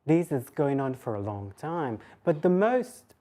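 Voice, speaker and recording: A woman with a low voice delivers an academic lecture in an informal register. She talks conversationally, as a lecturer thinking aloud, and cuts into her own sentences with side remarks. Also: big pitch swings, unhurried, warm tone. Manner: conversational, self-interrupting asides. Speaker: university lecturer giving a talk.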